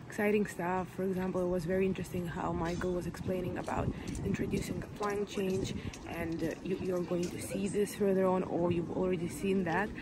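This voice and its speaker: A woman's voice: lowish voice, flat delivery, fairly quick, heavy background noise. A young woman vlogging casually about a dressage event, chatty with hesitant fillers, filmed on a handheld phone. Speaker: vlogger for a horse training team. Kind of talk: casual vlog narration. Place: outdoors at an equestrian venue and indoors.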